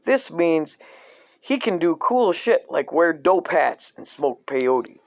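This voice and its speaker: A man reading a dark comic coloring book aloud in a deadpan way, breaking off into profane asides. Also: fairly high pitch, slow, creaky.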